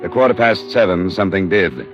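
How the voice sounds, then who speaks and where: Radio noir investigator: American, very low, matter-of-fact, methodical, old muffled recording with music. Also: male voice, brisk, fairly bright tone. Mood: terse, factual. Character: hard-boiled insurance investigator, methodical and persistent. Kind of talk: scripted first-person radio noir narration and dialogue.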